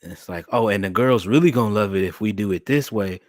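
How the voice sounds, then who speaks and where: A young man, talking to his livestream chat in an animated, preacherly way. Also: on the low side, fairly quick, no room sound, clean studio sound. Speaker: streamer and podcast host.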